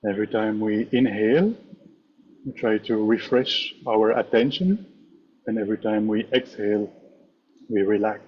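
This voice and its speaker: A man leading a guided meditation as a teacher, speaking in measured, instructive short phrases with pauses. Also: fairly low pitch, steady tone, muffled recording, slight background noise. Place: online meditation session over video call.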